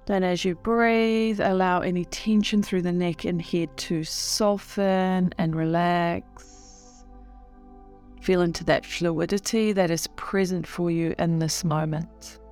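A woman leading a guided meditation in a soothing, unhurried way with long pauses. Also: lowish voice, fairly even intonation, darkish tone, faint music behind.

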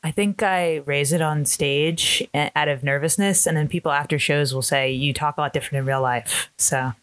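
A young woman with a naturally deep voice chats easily on a podcast, often breaking into laughter. The recording is close and dry from a home setup, with no room sound. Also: fairly even intonation, normal speed, fairly bright tone, no echo. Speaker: podcast co-host, comedian. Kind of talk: casual chat. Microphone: own home recording setup.

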